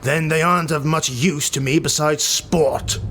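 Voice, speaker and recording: A man voicing a cruel, imperious highborn warlord in a fantasy audiobook, growling and commanding. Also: fairly even intonation, unhurried, a bit bright, slight background noise. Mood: curt, demanding.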